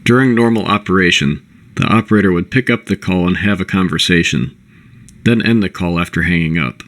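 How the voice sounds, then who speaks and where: Low-pitched man narrating a corporate presentation. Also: fairly quick, fairly bright tone. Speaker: presenter of a company product overview.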